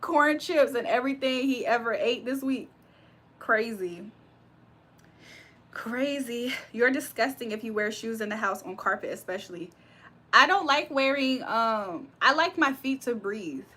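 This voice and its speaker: Bright-voiced young woman reading her chat. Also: a little high, animated, a little slow. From Virginia. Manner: laughs often, reads comments aloud then reacts. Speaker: livestream host reading chat. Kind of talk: casual live chat.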